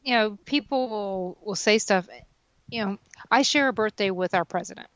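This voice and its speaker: A woman's voice: medium pitch, normal speed, fairly bright tone, no room sound. A woman in her mid-fifties, talkative and self-deprecating, chatting casually as a radio co-host. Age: 50s. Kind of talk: casual chat.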